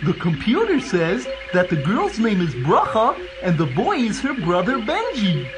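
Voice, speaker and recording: A man's voice: high-pitched, wildly swooping pitch, measured pace, music in the background, heavily acted. A man voicing an eager, naive little helper in a children's audio story, earnest and gee-whiz. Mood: dutiful.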